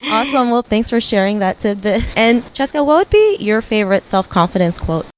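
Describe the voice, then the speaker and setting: A woman's voice: on the high side, lively intonation, brisk, a little hiss or hum. A woman from the Philippines hosting an interview, speaking reflectively and often using "you know" and "like". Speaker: interview host. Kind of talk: casual interview.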